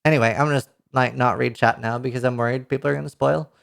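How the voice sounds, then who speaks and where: A man in his thirties with a Norwegian accent reads patch notes aloud to a live chat, reacting with excited outbursts and casual swearing, into a headset mic. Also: mid-range voice, quick pace, gravelly. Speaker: streamer. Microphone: headset mic at a desk.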